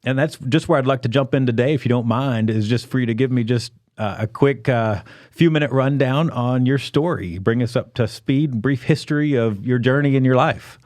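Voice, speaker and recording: A man hosting a podcast, speaking in an encouraging, friendly interviewing manner with lots of ums and acknowledgements. His voice has a middle pitch and sits dry and close, with no room sound. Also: normal speed, darkish tone, no room sound. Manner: encouraging, lots of backchannel fillers. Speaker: podcast host.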